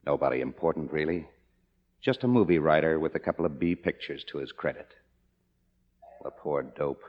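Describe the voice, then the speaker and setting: Wry, broke screenwriter, very deep, narrating old radio noir over soft music. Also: male voice, heavily acted. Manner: wry, world-weary, hard-boiled. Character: cynical, down-on-his-luck male screenwriter narrating a murder. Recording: dull vintage radio recording, music behind.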